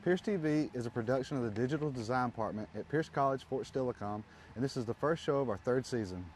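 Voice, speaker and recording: A man's voice: medium pitch, fast, slight background noise. A man co-hosting a TV magazine show, reading a scripted introduction. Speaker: TV show host.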